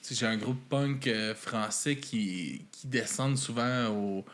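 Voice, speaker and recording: A man with a Québécois accent co-hosts a podcast in loose, slangy chat and drops swear words without a thought. His voice sits at an ordinary middle pitch. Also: measured pace, a bit bright. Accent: Québécois French. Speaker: podcast co-host.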